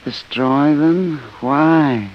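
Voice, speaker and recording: Placid professor: blithe, vague, unhurried delivery, dull vintage radio sound. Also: male voice, on the high side. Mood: blithely happy. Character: contented, dazed professor after brain surgery, placid. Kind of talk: 1950s radio drama acting. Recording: dull old radio recording.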